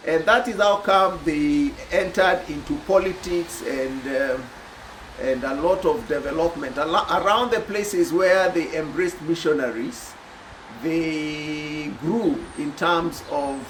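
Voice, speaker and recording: Reflective Kenyan man, slightly high voice. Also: a little hiss or hum, rough recording. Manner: thoughtful, reflective, many pauses with "uh". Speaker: commentator. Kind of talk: personal commentary to camera.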